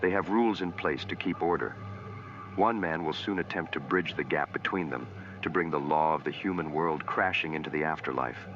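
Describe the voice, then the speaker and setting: A man's voice: a bass voice, moderate pace, a bit bright, lo-fi sound, slight background noise. A man as anthology host, giving a scripted introduction to a horror episode.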